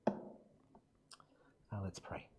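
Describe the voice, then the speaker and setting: Male preacher, croaky from a cold; warm low tone, casual delivery with ums. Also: extremely slow, slight background noise. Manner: self-deprecating, relaxed, with fillers. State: croaky from illness. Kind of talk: sermon with Bible reading.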